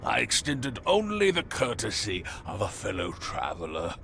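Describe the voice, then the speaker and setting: An actor playing a haughty, zealous male cultist in a video game, speaking formally and theatrically, often sinking into a breathy murmur. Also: lowish voice, wildly swooping pitch, normal speed, fairly bright tone, slight background noise, full character voice. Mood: solemn. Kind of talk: dramatic video game cutscene acting.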